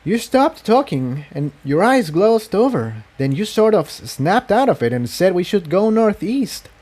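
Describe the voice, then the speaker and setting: Man putting on an anxious, high-pitched young mare. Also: very animated, measured pace, a little mellow, exaggerated voice. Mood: uneasy insistence. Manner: earnest, uneasy, questioning. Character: anxious young female pony, tearful but caring.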